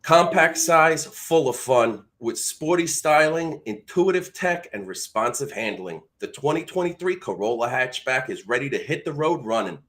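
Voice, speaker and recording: A man's voice: medium pitch, lively intonation, normal speed, hardly any pauses, fairly bright tone, lightly acted. New York guy selling in a commercial, played by a male voice actor. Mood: upbeat sales. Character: male New Yorker pitching products in commercials. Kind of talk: commercial voice-over read.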